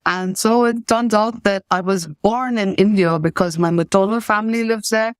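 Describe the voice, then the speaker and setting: A woman's voice: medium pitch, normal speed, clean tone, no echo, studio quality. A woman from Pakistan whose first language is English, a certified coach telling her story earnestly in an interview over a video call. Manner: earnest, reflective.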